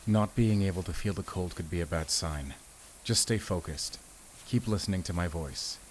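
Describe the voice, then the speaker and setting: A man playing a battle-weary werewolf hunter speaks in a low voice, solemn and commanding. He addresses the listener closely and protectively, as in a cinematic audio drama. Outdoor ambience runs noticeably behind the words. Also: slight background noise, lightly acted. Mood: concerned, steady. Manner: grave, deliberate, commanding.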